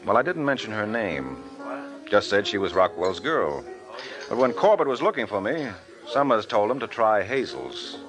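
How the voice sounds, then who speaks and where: A wry, confident American investigator in an old radio drama. The voice is on the low side and swings expressively, brisk and matter-of-fact, with music in the vintage recording. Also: male voice, very animated. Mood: explaining, assured. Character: wry, confident male investigator explaining a murder case. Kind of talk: 1940s radio drama acting.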